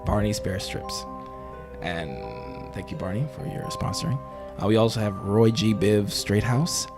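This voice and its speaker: A man playing a bumbling, earnest talk-show host in an improvised comedy interview, with halting, rambling phrasing. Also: on the low side, music in the background, lightly acted. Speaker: podcast host.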